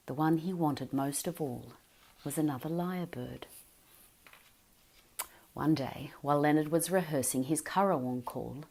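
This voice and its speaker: A woman narrating a children's picture book aloud, telling it expressively and dropping to a whisper for suspense. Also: deep voice.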